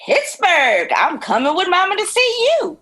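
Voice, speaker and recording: A woman's voice: very high-pitched, big pitch swings, normal speed, pushed and bright, full character voice. A woman playing an awkward young sister who is eager to connect, in a natural audio drama. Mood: eager, teasing.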